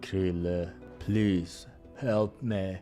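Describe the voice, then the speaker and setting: Man voicing a slow, low, remorseful bear. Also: steady tone, warm tone, faint music behind. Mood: remorseful, pleading. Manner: halting, pleading. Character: remorseful big fallen bear, male, gentle and ashamed.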